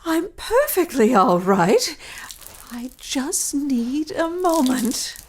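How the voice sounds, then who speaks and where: An actress playing a desperate, wounded, scheming mistress in a gothic audio melodrama, overwrought and halting. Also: female voice, high voice, sing-song, crowd noise, exaggerated voice. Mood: dazed, hopeful.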